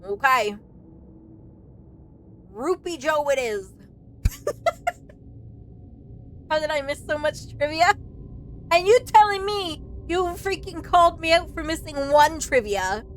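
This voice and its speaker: A woman's voice: high-pitched, animated, takes it slowly, long pauses, slight background noise, poor audio. A woman game streamer giving casual, chatty, exclamatory commentary as she plays. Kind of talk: casual reactive let's play commentary.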